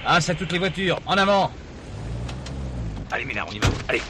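An actor playing a gruff, authoritative police commissioner in a French radio play, brisk and commanding. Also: male voice, a little high, sing-song. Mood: urgent, commanding. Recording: noisy archive drama recording, vehicle ambience.

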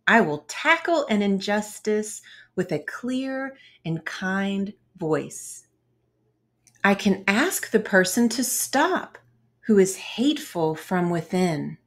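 Slow, lively woman reading a children's story. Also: mid-range voice. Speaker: host of children's read-aloud channel. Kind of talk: children's picture book read-aloud, scripted narration.

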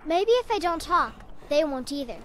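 Eager high-pitched little girl. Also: sing-song, normal speed, a bit bright, a little hiss or hum. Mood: wary, sad. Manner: wide-eyed, childlike, earnest. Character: chatty, eager, innocent little girl villager. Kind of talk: fantasy video game dialogue.

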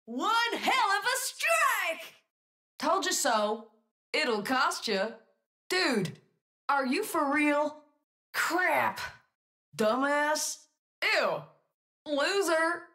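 An actress playing a brash young woman mechanic who calls out sassy, drawn-out short phrases as a video game style announcer. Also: high voice, big pitch swings, pushed and bright, full character voice. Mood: scornful.

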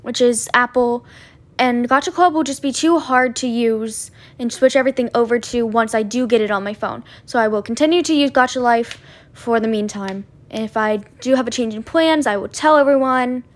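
A woman's voice: a little high, normal speed. A young woman chatting casually as a content creator, giving an informal update.

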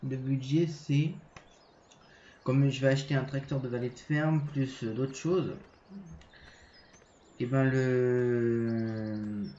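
A man's voice: medium pitch, steady tone, slow pace, pauses often, warm tone, echoey room, muffled recording, slight background noise. A French man with a cold giving a casual, hesitant game walkthrough, full of fillers and restarts. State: has a cold. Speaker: gaming channel host.

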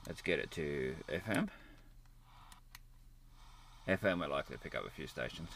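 A man's voice: a bass voice, expressive, normal speed, gravelly, background noise. A man giving a casual, unscripted hands-on gadget demonstration in a plain-spoken way. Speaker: gadget reviewer. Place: indoors at a desk, near a computer.

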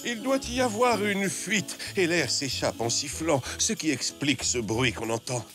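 A man playing an excitable, theatrical zebra uncle in a French children's cartoon, with music behind him. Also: a little high, a little slow. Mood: explaining. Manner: animated, theatrical.

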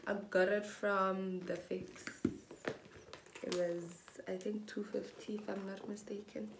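A woman's voice: medium pitch, creaky, background noise. A young woman from South Africa chatting casually to camera in a small indoor room, showing what she packs in her bag. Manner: chatty, slow and relaxed, mixes in Setswana phrases. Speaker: vlogger. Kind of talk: casual vlog chat.

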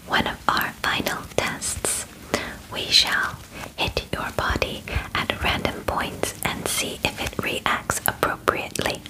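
Woman as very slow whispering alien examiner. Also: close to an everyday voice, low-quality recording. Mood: calm, attentive. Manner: formal, soothing, deliberate, softly reassuring. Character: calm, clinical alien examiner attending to a human. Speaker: ASMR performer. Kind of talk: whispered ASMR roleplay. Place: small bedroom, indoors. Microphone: close microphone.

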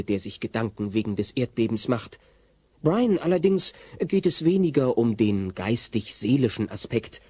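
Husky, mellow male storyteller. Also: mid-range voice, no echo. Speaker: narrator. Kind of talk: scripted story narration for audio play.

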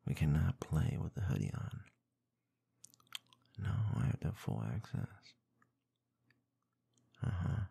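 A man performing intimate ASMR roleplay in a soft, breathy, gravelly murmur close to the microphone, with a teasing manner. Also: a bass voice, sing-song, no room sound. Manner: soft, teasing, slow murmuring with small chuckles and hums. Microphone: close binaural microphone.

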